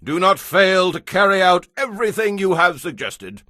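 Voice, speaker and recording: An imperious Persian king for an audio Bible drama. His voice is forceful and a little high for a man, with big theatrical swings as he declaims and commands. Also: sing-song, moderate pace, dry recording, studio quality, exaggerated voice. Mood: brisk, commanding.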